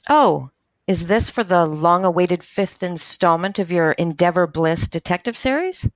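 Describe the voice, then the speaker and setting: A woman's voice: on the low side, expressive, measured pace, dry recording. A woman playing a brash, foul-mouthed small-town radio doctor in a radio drama. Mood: chirpy showmanship. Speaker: radio show host character.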